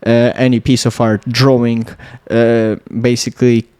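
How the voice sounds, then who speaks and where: Latvian man with a Russian accent, halting. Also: mid-range voice, flat delivery, dark tone, clean tone. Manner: halting, searching for words, many restarts. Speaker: podcast guest, electronics engineer. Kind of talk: casual podcast conversation.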